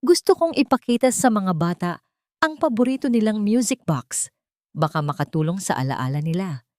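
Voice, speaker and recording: A woman's voice: mid-range voice, animated, moderate pace, a little mellow, dry recording, studio quality, close to an everyday voice. Woman voicing a honey-sweet scheming female villain. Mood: sweet, coaxing. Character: sweet-talking, scheming young woman, a sister-in-law villain.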